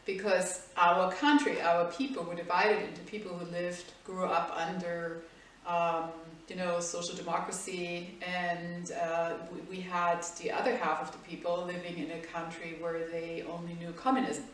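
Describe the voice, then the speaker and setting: A German woman in her fifties with a German accent, recalling her past slowly in an interview. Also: lowish voice, flat delivery, a little hiss or hum. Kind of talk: recalled personal history in an interview. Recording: echoey room, far from the mic.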